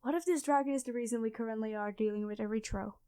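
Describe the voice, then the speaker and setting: A woman's voice: on the high side, fast, dark tone, clear, resonant tone, lightly acted. A woman playing a clumsy, nerdy classmate who stammers and rambles apologetically in an ASMR roleplay. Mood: excited theorizing. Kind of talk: ASMR audio roleplay addressed to the listener.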